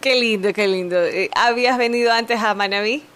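A woman's voice: on the high side, normal speed, a bit bright, smooth. A woman hosting a radio news program, interviewing a guest and often repeating words as she asks her questions. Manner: hesitant, repeats words when asking. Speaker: radio news show host.

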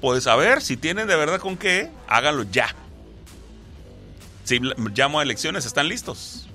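A man talks football in an animated way, his voice bright and his pitch jumping up and down. He explains things at length and keeps restarting his sentences. Faint music plays behind him. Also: moderate pace. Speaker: sports talk co-host. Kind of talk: casual football chat.

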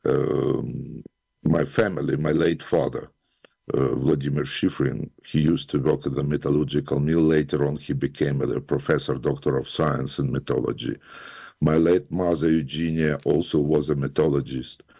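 Male guest, extremely low gravelly voice, dry close sound, measured answers. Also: fairly even intonation, mellow, dry recording. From Ukraine. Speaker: guest, scientist and musician. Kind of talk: interview answers.

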